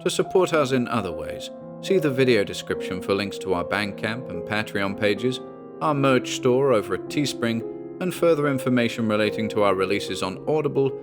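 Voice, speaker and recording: A man's voice: medium pitch, expressive, moderate pace. A male host delivers a scripted sign-off for an audio channel. He reads it as a prepared announcement, with music playing behind him.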